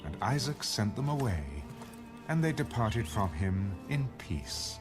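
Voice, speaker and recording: Low expressive male scripture narrator. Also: a little slow. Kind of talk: dramatic audiobook narration. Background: music.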